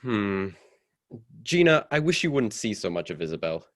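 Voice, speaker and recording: A man playing a proper, cautious and ambitious husband in a radio drama, measured and mildly condescending. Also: lowish voice, big pitch swings. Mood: mild disapproval. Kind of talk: old-time radio drama acting.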